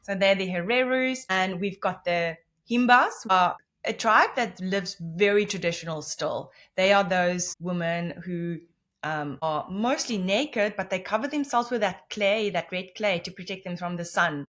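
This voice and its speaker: Namibian woman, Afrikaans native, interview guest; chatty, loose, self-correcting delivery, mid-pitched. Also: measured pace, a bit bright. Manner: rambling, self-correcting explanations with many restarts. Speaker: podcast guest. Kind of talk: conversational interview.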